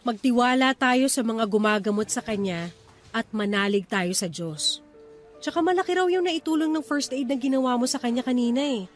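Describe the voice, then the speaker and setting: A woman's voice: fairly high pitch, moderate pace, natural voice. An actress playing a steadfast, comforting Filipino wife and mother in a Tagalog radio drama. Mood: firm, comforting. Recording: music under the voice.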